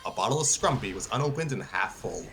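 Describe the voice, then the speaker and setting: A man's voice: lowish voice, lively intonation, quick pace, vocal fry, a bit bright, low-quality recording. A man narrating a scripted story as host of a live stream reading. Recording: music behind the voice.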